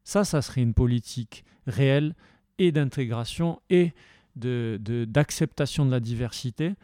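A man's voice: mid-range voice, moderate pace, warm tone, dry recording, studio quality. A man from the south of France talking heatedly and casually, with many hesitations and restarts. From Occitan-speaking region of France. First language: French. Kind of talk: passionate casual talk.

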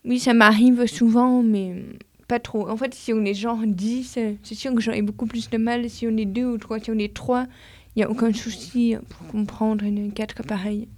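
A woman speaking as a guest on a radio discussion, hesitant and self-conscious, with halting restarts. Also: a little high, steady tone.

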